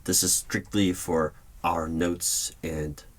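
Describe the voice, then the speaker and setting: A man speaking in a formal, deliberate official tone, performing a spoken ASMR roleplay as a stern hiring manager, with keyboard typing behind him. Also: low voice, fairly even intonation. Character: stern male hiring manager of secret organization. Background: computer keyboard typing.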